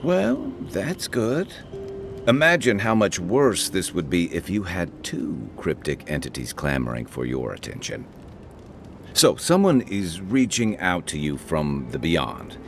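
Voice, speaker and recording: Very deep-voiced wry fantasy companion. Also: male voice, creaky, full character voice. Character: gruff, wry, loyal male fantasy travelling companion. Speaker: game companion character. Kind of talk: video game dialogue.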